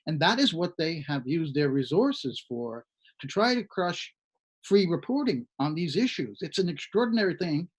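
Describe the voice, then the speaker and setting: A man's voice: on the high side, sing-song, normal speed, slightly rough. A man hosting a news discussion, giving political commentary in a conversational way. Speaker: panel host of an online news show.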